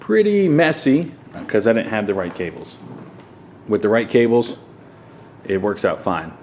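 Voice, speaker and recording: A man's voice: lowish voice, expressive, measured pace, darkish tone. A man giving a casual, unscripted walkthrough in an offhand way, speaking in short clipped fragments. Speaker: vlogger showing a home podcast setup. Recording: clearly noisy room recording.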